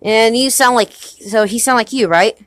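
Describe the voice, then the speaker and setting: A young man playing a halting nineteen-year-old husband. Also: very high-pitched, a bit bright, dry recording. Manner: hesitant, halting, many restarts. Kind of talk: amateur home movie acting.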